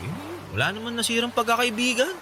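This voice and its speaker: A man's voice: very high voice, animated, fast talker, noisy background. Easygoing young Filipino man, reassuring. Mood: wistful, fond. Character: young man, grieving loyal friend, consoling and easygoing. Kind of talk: Filipino radio drama dialogue.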